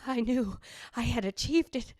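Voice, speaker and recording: A woman's voice: fairly high pitch, a little mellow, no room sound, lightly acted. Haunted, jealous ghost wife telling her tale , acted by a woman. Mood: intense, dread. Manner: literary, theatrical, deliberate delivery. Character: jealous, anguished ghost of a dead wife, narrating. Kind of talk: old-time radio drama narration.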